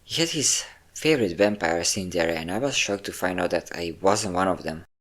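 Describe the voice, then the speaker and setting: A man's voice: low-pitched, lively intonation, moderate pace, fairly bright tone. A man playing a possessive, obsessive vampire lover, vain and cruel, in an intimate, theatrical roleplay monologue with lingering words and sighs. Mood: wounded, wistful.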